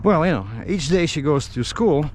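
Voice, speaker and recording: A middle-aged man from Russia with a Russian accent tells stories casually in English as a non-native speaker, haltingly searching for words. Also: on the high side, expressive, a little mellow. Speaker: vlogger. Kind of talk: casual rambling storytelling. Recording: outdoor street noise with traffic.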